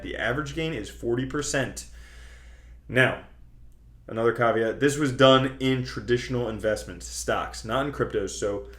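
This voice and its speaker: A man hosting an online video, explaining things to viewers in a chatty, colloquial, emphatic way. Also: medium pitch. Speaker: host of a cryptocurrency trading video channel. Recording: roomy, echoing sound.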